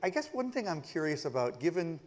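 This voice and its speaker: A man hosting a conference stage interview, introducing his guest warmly and guiding the talk with long questions. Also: on the high side, sing-song, a little fast. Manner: upbeat, effusive, guiding questions. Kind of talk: onstage interview. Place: conference stage with a live audience.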